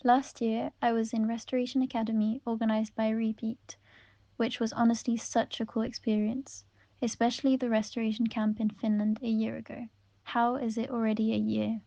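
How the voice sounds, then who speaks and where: A woman reads first-person interview answers in a gentle, unhurried voice. Her tone is soft and mellow, and her pitch sits fairly high but barely moves. The recording sounds dull and lo-fi. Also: level tone, vocal fry, no room sound.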